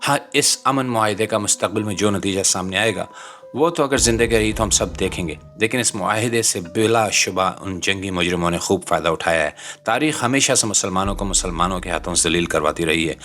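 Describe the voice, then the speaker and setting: A man's voice: deep voice, a little fast, fairly bright tone, faint music behind. A man from Pakistan with a Pakistani accent delivers scripted political commentary in an indignant, dramatic, rhetorical way, using formal literary wording. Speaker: commentator, channel presenter.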